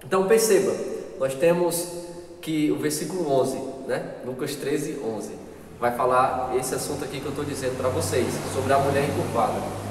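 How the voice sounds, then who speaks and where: A Brazilian man leads a church Bible class, earnest and repetitive, often asking his listeners questions. His voice sits a little high for a man. It is picked up from a distance in a long, echoing hall. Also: a little hiss or hum. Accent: Brazilian Portuguese. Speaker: Sunday school teacher. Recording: echoey hall, distant mic, faint ambience.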